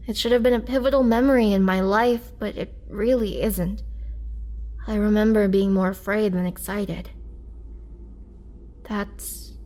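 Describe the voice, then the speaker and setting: A woman playing a sorrowful, vulnerable young vampire and former farm girl, speaking hesitantly and softly in an intimate roleplay monologue, sometimes near a whisper. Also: medium pitch, fairly even intonation, a little slow, long pauses, natural voice. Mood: wistful, subdued. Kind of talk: roleplay audio drama, intimate first-person monologue.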